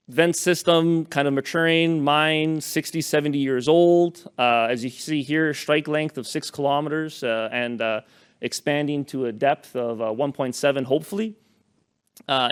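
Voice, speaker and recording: A young man talks casually to a conference audience through a microphone. His voice is smooth and resonant, set at an ordinary pitch. He talks loosely, with plenty of "uh"s and restarts. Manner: loose, chatty, many fillers and restarts. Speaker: conference presenter. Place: conference room with live audience. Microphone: handheld or podium microphone.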